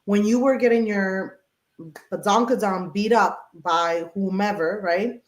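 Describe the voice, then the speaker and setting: A woman's voice: medium pitch, fairly even intonation, a little slow, roomy sound. Woman podcast host, mid voice, emphatic and chatty, with everyday examples. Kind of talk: casual chat.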